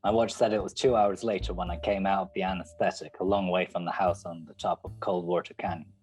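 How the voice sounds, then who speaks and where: A man's voice: low voice, little pitch movement, quick pace, darkish tone, faint music behind, close to an everyday voice. A man playing a hard-boiled private detective in a noir radio play, sardonic and world-weary. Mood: terse, moody. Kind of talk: 1940s noir radio narration and dialogue.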